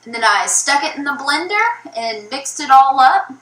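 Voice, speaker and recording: A young woman narrating a casual food vlog in a flat, low-energy way, with many ums. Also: moderate pace, poor audio. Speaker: YouTuber. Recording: old video played from a phone.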